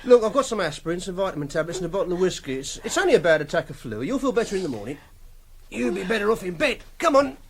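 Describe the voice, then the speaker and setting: An actor playing a repressed, socially awkward young captor in a radio drama, halting and defensive. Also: male voice, sits high, very animated. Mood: cold, dismissive. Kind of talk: radio drama dialogue and confessional narration.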